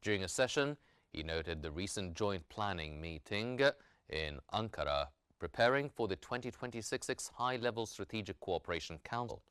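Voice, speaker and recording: A man working as a news anchor, reading a scripted bulletin in a formal, measured newsreader delivery. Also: lowish voice, lively intonation, fairly bright tone, clear, resonant tone, no echo, clean studio sound.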